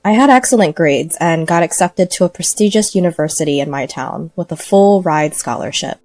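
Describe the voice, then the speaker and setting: A woman's voice: fairly low pitch, moderate pace, a little mellow, no room sound, soft background music. A woman reading a first-person scripted story as narrator.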